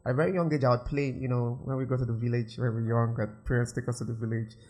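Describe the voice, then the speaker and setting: A young Nigerian man with a Nigerian accent, a musician guest telling his story in a casual interview, animated and quick to laugh. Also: mid-range voice. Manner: animated, laughs often, fillers like "you know".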